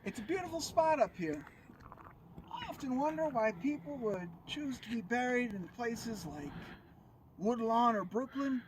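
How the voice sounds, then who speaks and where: A man's voice: extremely high pitch, animated, measured pace, far from the mic, slight background noise, poor audio. A man playing a folksy, wry and wistful small-town cemetery guide in a musing, plain-spoken dramatic monologue. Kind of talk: dramatic monologue, oral interpretation.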